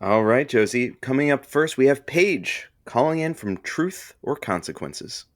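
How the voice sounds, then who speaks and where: A man's voice: medium pitch, lively intonation, unhurried, no room sound, clean studio sound, lightly acted. An actor playing a chummy, upbeat radio producer, a man who teases and prods in breezy casual banter. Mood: businesslike, upbeat. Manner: teasing, breezy, prodding. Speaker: radio show producer announcing callers.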